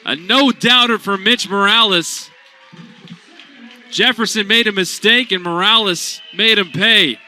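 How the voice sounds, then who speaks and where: A young man delivering radio baseball play-by-play with a high, very bright, ringing voice. His calls are punchy and animated, in classic sportscaster fashion. He keeps the action moving for listeners who cannot see the field. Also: measured pace, faint music behind. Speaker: play-by-play announcer.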